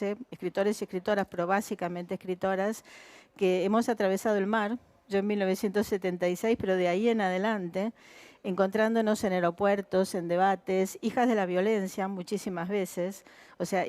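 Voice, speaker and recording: A woman's voice: medium pitch, darkish tone, dry recording. An older woman writer speaking on a festival panel, thoughtful, with many fillers and tag questions. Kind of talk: panel discussion. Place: festival stage.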